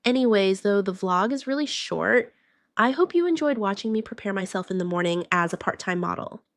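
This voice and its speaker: A woman's voice: medium pitch, lively intonation, brisk, dry recording. A woman vlogger narrating a roleplay morning-routine video, chatting directly to her viewers. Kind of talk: voiced roleplay vlog narration.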